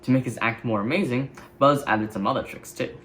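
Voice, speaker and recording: A man's voice: mid-range voice, brisk, fairly bright tone, a little hiss or hum. A man reading a children's story as a scripted narration. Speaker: storybook reader.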